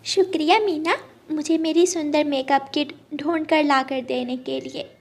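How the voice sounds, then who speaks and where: Woman as a vain little sparrow, very high-pitched. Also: steady tone, a little slow. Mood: grateful. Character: teasing, vain female sparrow fond of makeup. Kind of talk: Hindi children's cartoon dialogue.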